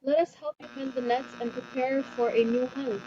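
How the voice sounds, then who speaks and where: A woman acts out a wise village woman in a folktale, high in pitch and resolute. She speaks in a commanding, declamatory way, as a leader to her people. The recording is muffled, with a clear buzzing hum behind her. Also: fairly even intonation, moderate pace, close to an everyday voice. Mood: earnest, offering. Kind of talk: dramatized folktale acting. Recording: dull lo-fi recording with loud hum and buzz.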